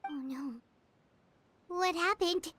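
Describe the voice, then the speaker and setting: A tiny fairy sidekick from an anime-style game. The voice is extremely high, squeaky and childlike. The manner is earnest and excitable. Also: female voice, slow, heavily acted. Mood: worried. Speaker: voice actress in a video game.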